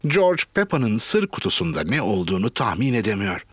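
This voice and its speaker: A man playing the storyteller of a children's cartoon, narrating clearly from a script. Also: fairly low pitch, sing-song, fairly quick. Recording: music behind the voice.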